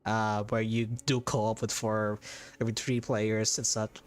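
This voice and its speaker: A man's voice: lowish voice, little pitch movement, a little slow. A man chatting casually with viewers while livestreaming a game. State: hiccups. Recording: game music behind the voice. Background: game music.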